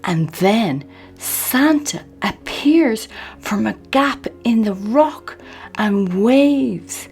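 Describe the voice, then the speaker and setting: A woman reading a children's picture book aloud in an expressive, measured bedtime storytelling manner. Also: on the high side, slightly rough, faint music behind.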